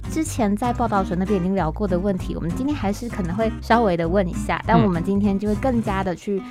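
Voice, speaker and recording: A woman's voice: mid-range voice, quick pace. A woman with a Taiwanese Mandarin accent hosting a conversational podcast interview. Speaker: podcast host. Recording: music playing behind the voice. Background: background music.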